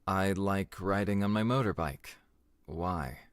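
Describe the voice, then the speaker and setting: Game host putting on brooding young bad boy, deep low voice, slow drawl, terse, cool, visual novel character. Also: no echo, studio quality. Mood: cool, curt.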